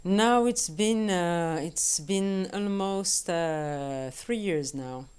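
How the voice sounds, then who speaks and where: A woman who grew up in France, a guest singer talking casually over a video call, halting often to search for words. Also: lowish voice, darkish tone, clean tone. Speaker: guest vocalist. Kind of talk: casual conversation.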